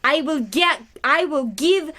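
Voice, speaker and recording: Slightly high-voiced woman rehearsing drama. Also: animated, takes it slowly, pushed and bright. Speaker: actor. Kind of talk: rehearsing dramatic lines and bantering.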